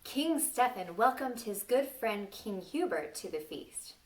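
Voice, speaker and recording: Slow-paced woman reading a children's story. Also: mid-range voice, big pitch swings. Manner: asks the children frequent questions, exclaims playfully. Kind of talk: interactive read-aloud storytelling for young children. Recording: distant microphone.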